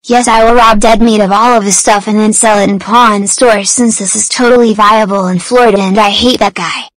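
A woman's voice: mid-range voice, fairly even intonation, fairly quick, no echo, close to an everyday voice, poor audio. A woman voicing a smug, scheming bald young boy in a crude cartoon parody. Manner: smug, gloating, repetitive.

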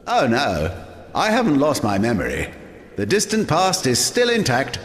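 An actor playing an eccentric, forgetful old architect in a video game, boastful, rambling and theatrical. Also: male voice, medium pitch, wildly swooping pitch, normal speed, fairly bright tone, slight background noise. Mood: proud. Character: eccentric, forgetful old male genius architect, proud and unhinged. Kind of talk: dramatic video game acting. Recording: echoey hall with long reverb.

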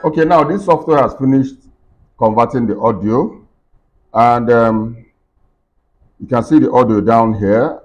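A middle-aged man with a deep voice, giving a step-by-step software tutorial at his computer with plenty of fillers. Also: measured pace, long pauses. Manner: explains step by step with many fillers and restarts. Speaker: tech tutorial host. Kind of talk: screen-recorded software walkthrough. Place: indoor room at a computer desk. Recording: dull lo-fi screen recording.

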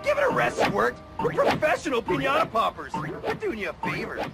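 Cartoon game casting: pushy, greedy kid con artist, croaky, wildly swooping delivery, music behind. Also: male voice, extremely high pitch, wildly swooping pitch, a little fast, full character voice. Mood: irritated. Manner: loud, pushy, wisecracking. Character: brash, greedy, scheming boy hustler. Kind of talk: cartoon video game acting.

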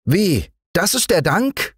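A small, timid hare with a thin, high, strained voice, as a male reader puts him on. He pleads and protests, his voice climbing high and wide. The recording is close and dry, as if read into a bedtime storybook mic. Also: sing-song, slow, fairly bright tone, husky, dry recording, exaggerated voice. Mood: pleading.

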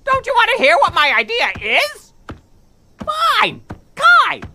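A woman voicing an excitable, cocky, hot-tempered bird in a dubbed cartoon, boastful, exclamatory and whiny, with music underneath. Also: very high-pitched, slow, noisy background, heavily acted. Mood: eager, scheming. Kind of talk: animated cartoon dub acting.

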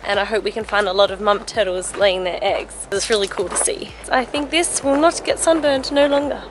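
A woman's voice: mid-range voice, brisk, background noise. A young woman in her twenties, chatting playfully to a handheld camera about her day. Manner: bubbly and playful, giggly. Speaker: travel vlogger. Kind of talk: casual vlog chat. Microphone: handheld camera at arm's length.

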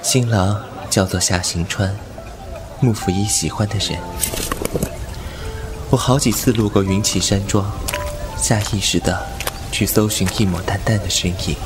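This is a millennia-old fox spirit, voiced by a man, who narrates an audio drama in a low, unhurried and detached tone. His measured voice sits over soft music and falling rain. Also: steady tone, lightly acted. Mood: musing. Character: thousand-year-old male fox spirit, aloof. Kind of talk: fan audio drama acting.

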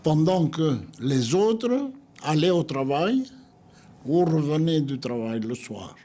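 Husky older man telling his memories. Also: a little high, expressive, dark tone. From Greece. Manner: unhurried storytelling, acting out others' words in quotes. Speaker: interviewee. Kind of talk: recounting personal memories.

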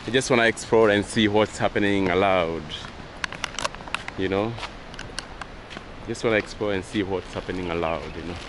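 A young man from Kenya, chatting casually as he films himself walking outdoors, with lots of "yeah" and "wow". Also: on the low side. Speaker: vlogger. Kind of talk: casual travel vlog chat. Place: outdoors on a forest trail. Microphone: handheld camera at arm's length. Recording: outdoor camera audio with steady background noise.

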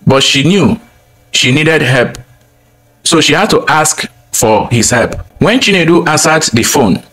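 A man with a mid-pitched voice narrates a folktale as a storyteller. He tells it in plain, deliberate phrases, as a scripted narration. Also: a bit bright, low-quality recording.

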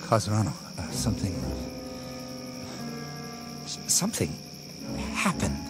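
An actor playing a shaken, conscience-stricken army lieutenant in a radio drama, speaking hesitantly and haltingly. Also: male voice, lowish voice, wildly swooping pitch, loud music behind the voice. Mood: dazed.

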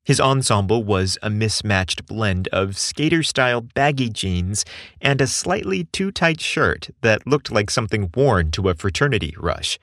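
A man narrating a romantic story in an expressive, earnest way, recorded close on a studio mic. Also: on the low side, measured pace, no echo. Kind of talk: scripted narration.

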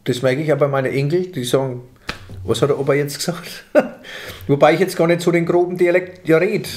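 A man's voice: a little high, steady tone, brisk, a little mellow. An older man with a Franconian accent, chatting as a comedian guest in a small office room, with lots of restarts as he talks. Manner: chatty, lots of restarts, gestures while talking. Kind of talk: casual interview chat. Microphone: table microphone.

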